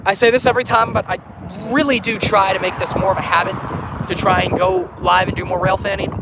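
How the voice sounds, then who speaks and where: High-voiced man streaming beside roaring traffic. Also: fairly even intonation, quick pace, creaky. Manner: talks to chat, restarts and corrects himself. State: recovering from a cold, coughing. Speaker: railfan livestreamer. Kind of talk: casual livestream chat. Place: outdoors on a bridge by a busy highway. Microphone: phone. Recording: loud traffic and wind noise outdoors. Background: heavy traffic and wind.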